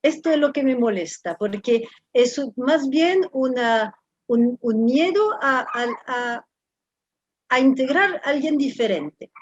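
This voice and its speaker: A woman's voice: high-pitched, animated, slow, husky, no room sound, muffled recording. A woman from France in her fifties with a French accent, a professor talking as an interview guest. She speaks thoughtfully and halts often, repeating words while she searches for the next one. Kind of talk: reflective conversational interview.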